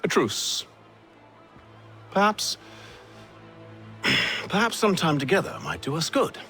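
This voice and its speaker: A man's voice: sits high, very animated, slow pace, pushed and bright, faint music behind, full character voice. An actor playing a haughty, sardonic middle-aged father and villain in a video game, with a condescending, emphatic delivery. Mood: coolly persuasive. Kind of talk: dramatic video game acting.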